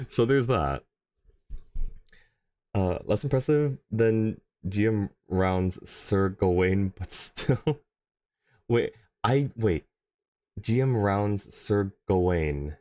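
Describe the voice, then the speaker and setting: A man's voice: lowish voice, lively intonation, slow pace, long pauses, a little mellow, dry recording, studio quality. A man hosting a casual livestream, talking in an easygoing, conversational way as he answers chat. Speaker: livestream host.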